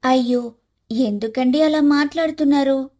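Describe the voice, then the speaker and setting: A spiteful young daughter-in-law, acted by a woman, with a high voice. She is curt and defiant, a sharp-tongued wife in a cartoon family tale. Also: normal speed, lightly acted. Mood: pushy.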